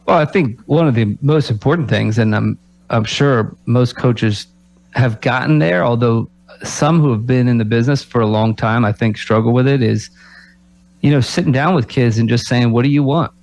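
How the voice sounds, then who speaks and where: A man who coaches college basketball talks on a sports radio show in a reflective way, often closing his points with rhetorical questions. His voice sits at a middle pitch. The studio sound is dry and close. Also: normal speed, vocal fry, darkish tone, no room sound, low-quality recording. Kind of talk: casual sports radio talk.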